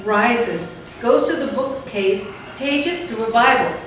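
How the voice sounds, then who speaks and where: A woman's voice: fairly high pitch, lively intonation, slow pace. A woman reading out stage directions as scripted narration for a staged play reading. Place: indoor theatre space, staged reading. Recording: very echoey hall, distant mic, faint noise.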